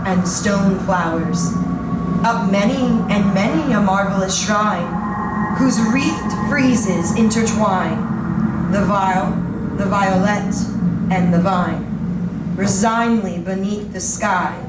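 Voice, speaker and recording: A woman giving a solemn, measured, theatrical reading of dramatic poetry. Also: medium pitch, heavy background noise. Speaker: performer of poetry. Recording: roomy distant recording under loud music.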